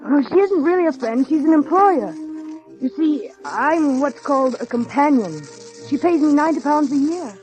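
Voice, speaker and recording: A woman's voice: high-pitched, expressive, moderate pace, darkish tone. An actress playing a shy, earnest, naive young woman of nineteen in a 1940s radio drama, speaking in a hesitant, polite, wistful way. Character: shy, earnest, naive nineteen-year-old paid companion. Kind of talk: 1940s radio acting and narration. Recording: dull vintage radio recording, music behind.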